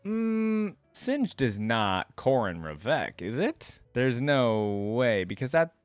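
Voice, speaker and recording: A man's voice: mid-range voice, big pitch swings, takes it slowly, no echo, soft background music. A man hosting a reaction video, giving casual, musing commentary and addressing viewers directly. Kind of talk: casual commentary, partly reading a wiki aloud.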